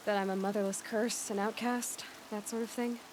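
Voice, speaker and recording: This is a blunt young warrior woman from a video game, voiced by an actress. She is determined and direct, with a medium pitch. Faint rain and ambience sit behind her voice. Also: fairly even intonation, moderate pace, background noise, natural voice. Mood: guarded, direct. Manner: firm, direct. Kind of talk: video game cutscene acting.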